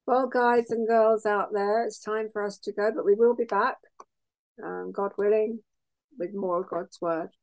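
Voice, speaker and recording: Female radio host, croaky with hay fever, gravelly and unhurried, chatty sign-off. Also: mid-range voice, steady tone, rough recording. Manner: chatty, laughing, warm asides. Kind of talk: casual radio sign-off chat.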